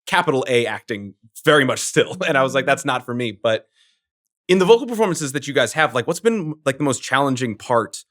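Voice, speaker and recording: Male interviewer, casual convention chat, rambling questions, average pitch. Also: animated, fairly quick, fairly bright tone. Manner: chatty, laughing, rambling questions. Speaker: podcast interviewer.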